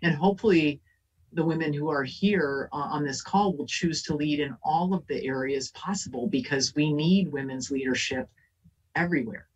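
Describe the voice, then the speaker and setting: Woman panelist on a video call, earnest, halting, slightly husky, distant audio. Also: low voice, highs cut off. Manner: earnest, many fillers and restarts. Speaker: panelist, elected official. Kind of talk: casual panel talk.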